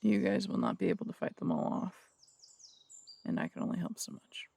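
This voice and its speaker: A man's voice: high-pitched, huge pitch swings, brisk, vocal fry, a little mellow, no room sound, heavily acted. A man playing a stern male detective who runs the morgue, blunt and warning in an audio drama.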